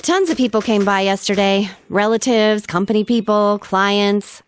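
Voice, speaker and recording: A woman's voice: mid-range voice, normal speed, clear, resonant tone, natural voice. A woman playing a cute, pampered teenage girl in a video game, blunt and complaining with a demanding, coquettish streak. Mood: exasperated. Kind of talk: video game dialogue acting.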